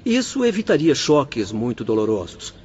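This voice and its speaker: An actor playing a young spirit doctor in a radio drama, explaining things formally and patiently. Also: male voice, medium pitch, big pitch swings, moderate pace, a little mellow, breathy edge, dull audio. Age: young adult. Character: calm, instructive young spirit doctor and hospital director.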